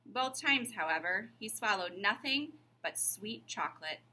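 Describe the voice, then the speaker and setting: A woman's voice: mid-range voice, lively intonation, measured pace, bright, forceful tone, poor audio. A woman reading a children's storybook aloud as narrator. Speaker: reader.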